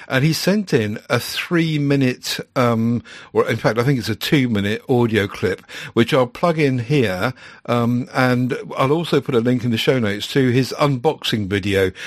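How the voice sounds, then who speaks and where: A man's voice: mid-range voice, no room sound. A man co-hosting a casual podcast, chatty and rambling, often stopping to correct himself. His voice sits in a middle register and is recorded close and dry, with no room sound around it. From United Kingdom. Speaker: podcast co-host.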